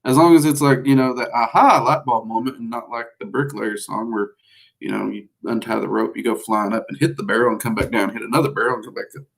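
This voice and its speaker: A man's voice: medium pitch, steady tone, quick pace, vocal fry, low-quality recording. A man with a Southern US accent hosting a casual livestream, answering viewers in a rambling, folksy way with lots of fillers. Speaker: livestream host teaching magic.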